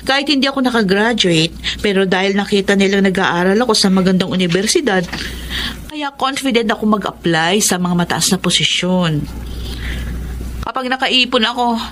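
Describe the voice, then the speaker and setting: Woman acting a bossy Filipino older sister, mid-pitched. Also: a little hiss or hum, natural voice. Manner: scolding, sharp-tongued, self-assured. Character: blunt, critical young mother and bossy older sister. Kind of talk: Filipino radio drama acting.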